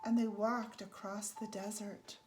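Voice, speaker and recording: A woman reading a children's picture book aloud as a storyteller, in a measured, deliberate way with emphasized phrases. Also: medium pitch, level tone, darkish tone, soft background music. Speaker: storybook reader. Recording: faint background noise.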